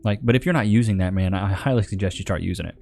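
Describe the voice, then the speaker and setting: A man with a fairly low voice talks like an online streamer. He is slangy and emphatic as he gives his commentary, with faint music playing behind him. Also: racing, creaky. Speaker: online video host reacting to clips.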